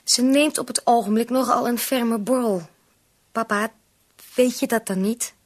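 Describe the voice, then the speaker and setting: An actress playing a worried, insistent young bride-to-be pleading as a daughter in a radio play. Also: female voice, fairly high pitch, a little slow. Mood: insistent, upset. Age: young adult.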